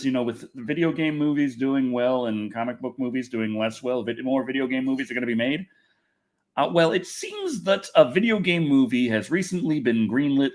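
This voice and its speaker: A man hosts a casual livestream with a very lively voice that swings up and down. He rambles through gaming and movie news, breaking off his own sentences and picking them up again in an animated, chatty way. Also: medium pitch. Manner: rambling, animated, self-interrupting. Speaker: livestream host.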